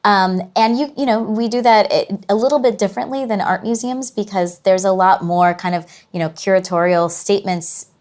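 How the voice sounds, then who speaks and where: A woman's voice: mid-range voice, steady tone, moderate pace, hardly any pauses, darkish tone. A woman speaking as an interview guest and curator, giving thoughtful, agreeable explanations in a conversational style. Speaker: podcast guest, museum curator.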